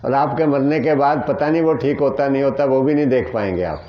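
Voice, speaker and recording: A man's voice: medium pitch, brisk, highs cut off. A man teaching naturopathy, answering questions in an earnest, plain way and asking rhetorical questions.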